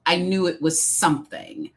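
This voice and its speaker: A middle-aged woman from Detroit with a Midwestern accent, speaking as an interview guest in a measured, reflective way. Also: on the low side, big pitch swings. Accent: Midwestern American. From Detroit, Michigan. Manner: measured, reflective, many um fillers. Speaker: guest, executive coach. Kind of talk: interview conversation.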